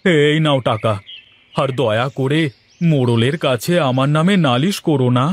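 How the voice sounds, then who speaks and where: A man's voice: medium pitch, normal speed, no room sound, natural voice. A man voicing a timid, honest village sweet seller, polite and anxious, in a cartoon. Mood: pleading. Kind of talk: cartoon moral-story dialogue.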